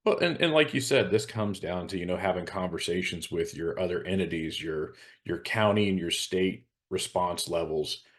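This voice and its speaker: Low deep male voice, show host talking shop, casual but explanatory. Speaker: hazmat consultant and show host. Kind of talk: casual professional discussion.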